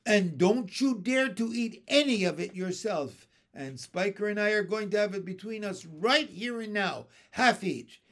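A man's voice: sits high, animated, a little slow, few pauses, a bit bright, pitched above the performer's own voice, heavily acted. A man voicing a fat, greedy old aunt with a pompous, fussy manner. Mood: scheming.

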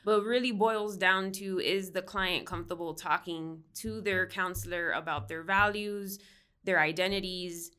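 Bright, smooth-voiced woman counselor. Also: fairly low pitch, fairly even intonation, unhurried. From Miami, United States. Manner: explanatory, with frequent "like" and "um" fillers. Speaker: counseling professor and licensed counselor. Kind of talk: conversational podcast interview.

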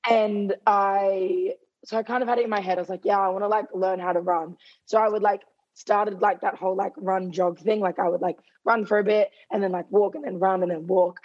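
A woman's voice: medium pitch, steady tone, brisk, a little mellow, dull audio. Chatty young woman losing her voice. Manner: chatty, heavy use of "like". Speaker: guest runner. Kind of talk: casual interview chat.

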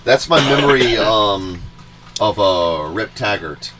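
A man chatting casually as a podcast co-host, with a loud voice that keeps rising when he gets excited. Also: fairly low pitch, slow pace, over a noisy crowd, poor audio. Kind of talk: casual chat.

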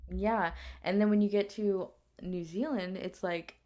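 A woman's voice: medium pitch, steady tone, unhurried, warm tone. A young woman co-hosting a podcast, chatting casually with lots of fillers and casual swearing. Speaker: podcast co-host. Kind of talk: casual chat.

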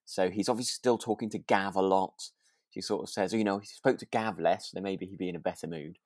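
British guy chatting on a podcast, lowish voice, dry close sound, casual. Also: steady tone, fairly quick, a little mellow, dry recording. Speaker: podcast co-host. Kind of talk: casual chat.

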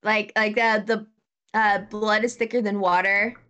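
A woman's voice: mid-range voice, flat delivery, measured pace, bright, forceful tone, highs cut off. A woman co-hosting a podcast, chatting casually and chiming in with short words of agreement. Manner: brief agreeing interjections. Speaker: podcast co-host.

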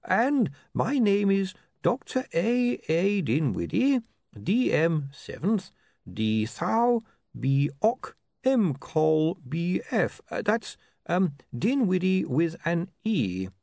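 Man playing a high-pitched, dotty old bursar. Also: very animated, vocal fry, darkish tone, dry recording, exaggerated voice. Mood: fussy, halting. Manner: halting, fussy, wandering. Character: eccentric, befuddled elderly male university bursar, cheerfully dotty.

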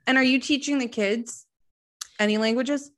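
A woman's voice: high-pitched, fairly even intonation, moderate pace, fairly bright tone. A woman hosting a podcast interview, friendly and teasing, laughing easily and affirming her guest. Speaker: podcast host. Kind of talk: casual interview chat.

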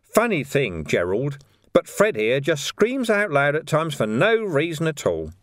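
A man acts out a wily male police commander in an audiobook. His pitch swoops with mock friendliness and sardonic needling, as if toying with a suspect. The sound is close and dry. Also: wildly swooping pitch, measured pace, no echo. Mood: mock innocent.

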